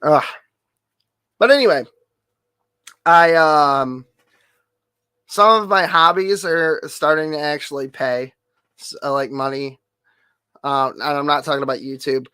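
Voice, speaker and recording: A man streamer with a nasal, hoarse voice, rambling through a casual update full of fillers and offhand swearing. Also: fairly high pitch, measured pace, pauses often, pushed and bright, no room sound. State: hoarse, losing voice.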